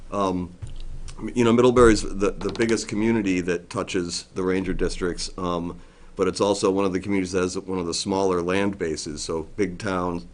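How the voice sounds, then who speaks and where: A middle-aged man, a forest district ranger, giving a loud, plainspoken briefing to a town board with frequent ums and restarts. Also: sits low, slight background noise. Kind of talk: informal briefing at a public meeting. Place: town meeting room.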